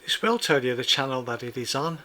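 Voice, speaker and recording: A man narrating a scripted product review, giving measured, precise step-by-step instructions. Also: medium pitch, lively intonation, pushed and bright.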